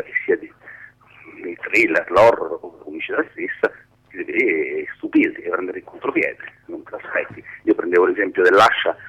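A man's voice: deep voice, husky, highs cut off. A man giving an informal radio phone interview as a writing teacher, rambling and often interrupting himself as he explains. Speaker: screenwriting teacher being interviewed.

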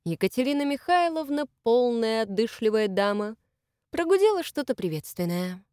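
A woman reading a novel aloud as an audiobook narrator, in an even, measured manner. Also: a little high, clear, resonant tone, dry recording, clean studio sound. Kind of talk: scripted narration.

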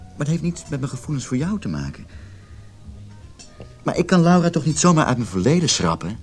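A man's voice: a little high, big pitch swings, fairly quick, a little mellow, faint music behind. An actor playing an evasive, conflicted married man placating his lover, defensive and emotional, in a Dutch radio play. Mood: shaken, pleading.

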